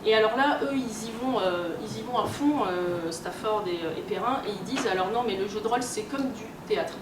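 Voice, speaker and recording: A woman's voice: medium pitch, brisk, distant mic. A woman giving an informal public lecture in a conversational, hesitant way. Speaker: conference speaker, game publisher and researcher. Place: convention talk room, indoors. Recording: echoey room from afar, strong background noise.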